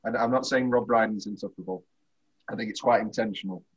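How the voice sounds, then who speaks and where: A British man hosting a casual film podcast, talking in a teasing, opinionated, animated way. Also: lowish voice, fast, breathy edge, lo-fi sound. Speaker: podcast host.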